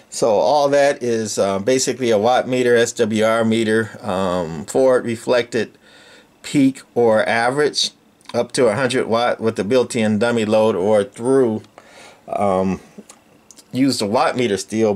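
Halting man giving an informal gear demo. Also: fairly low pitch, a little mellow. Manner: halting, rambling explanation. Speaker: electronics hobbyist presenter. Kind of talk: informal unscripted equipment demonstration.

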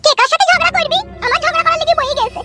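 A nagging cartoon village mother with a rural West Bengal accent. The voice is extremely high and squeaky, snappish and rushed, with music behind it. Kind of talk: comic cartoon dubbing.

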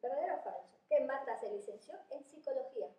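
A woman's voice: high-pitched, animated, fast talker, warm tone. A woman, casually hosting a quiz game as interviewer. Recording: echoey room, off-camera.

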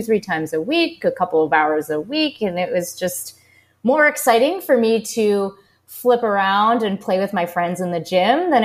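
A woman in her thirties from the Boston area, a former gymnast, talking about her life as an interview guest in an upbeat, chatty way with many fillers. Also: medium pitch. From United States, Boston area. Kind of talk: casual interview talk.

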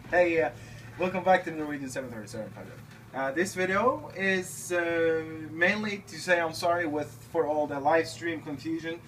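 A man from Norway in his thirties talks loosely and rambles, restarting his sentences. His voice sits a little high. The camera mic hears him from a few feet off, with a faint fan hum behind him. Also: normal speed, a bit bright, far from the mic, rough recording. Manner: chatty, rambling, many fillers and restarts. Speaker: flight simulator builder. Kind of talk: casual video request. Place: home-built airliner cockpit simulator, indoors. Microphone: camera held by his son a few feet away.

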